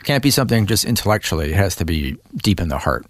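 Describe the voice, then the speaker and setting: A man's voice: low-pitched, sing-song, quick pace, dry recording. A man hosting a conversational interview, listening attentively and often murmuring agreement. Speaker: interview host.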